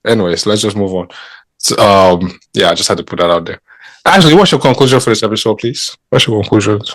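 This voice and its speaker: A man from Cameroon hosting a podcast, chatting and telling stories in a teasing, animated way. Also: on the low side, quick pace, dry recording. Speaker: podcast host. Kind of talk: casual chat.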